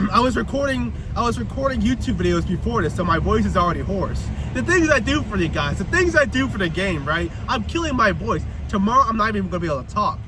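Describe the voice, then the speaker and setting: A hoarse young man talking to camera while driving, rambling and joking at his own expense. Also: high voice, big pitch swings, fast. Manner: rambling, joking, self-mocking. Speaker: YouTuber. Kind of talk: casual driving vlog monologue. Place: inside a car. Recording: distant mic with loud road noise.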